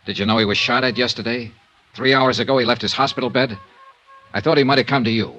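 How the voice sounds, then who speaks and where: An actor playing an earnest, persistent insurance investigator in a 1950s radio noir, plain-spoken and clipped. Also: male voice, mid-range voice, steady tone, fast talker, bright, forceful tone, natural voice. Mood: urgent, earnest. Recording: dull vintage radio recording, music behind.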